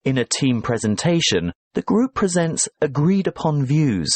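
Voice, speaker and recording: A man playing a brisk professor. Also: fairly high pitch, very animated, a little mellow, dry recording. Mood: explaining. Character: male professor guiding students, calm and brisk. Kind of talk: scripted exam dialogue.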